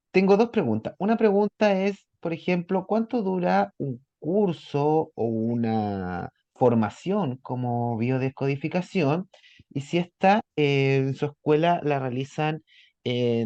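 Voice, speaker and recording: A man moderating an online meeting informally and politely, with halting phrasing, heard through dull, roomy meeting audio. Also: medium pitch, mellow. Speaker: host of the meeting.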